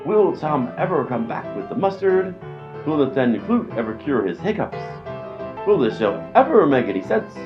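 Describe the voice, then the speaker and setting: Male serial announcer, highly animated and mock-dramatic, music underneath, slightly dull recording. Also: normal speed. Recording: muffled lo-fi sound, music behind.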